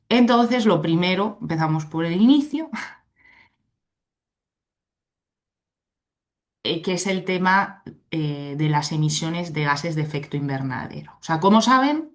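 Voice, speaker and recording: A woman from Spain speaks with a Spanish accent in a low, grounded voice. She gives an online conference presentation through a computer mic on a video call, explaining things in a friendly, chatty way. She keeps checking in with her listeners as she goes. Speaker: invited speaker, legal expert.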